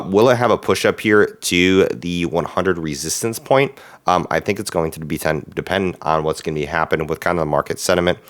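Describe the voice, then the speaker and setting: A man hosting a stock market channel, talking casually about the market in a raspy, hoarse voice that is still recovering from illness, with frequent "uh" and "um" fillers. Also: a bass voice.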